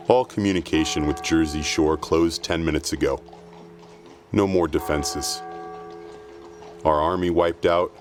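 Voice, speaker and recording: A man playing a grave radio reporter in an audio drama, describing disaster as a doomed eyewitness on air. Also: a bass voice. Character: grave male radio reporter facing an invasion's end. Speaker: acting student. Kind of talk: audio drama acting, broadcast news report.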